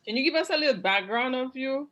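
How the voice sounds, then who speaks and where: A woman's voice: high-pitched, fast, a bit bright, lo-fi sound. A woman with a Saint Lucian accent hosting an interview in a friendly, hesitant way, with filler sounds. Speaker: host.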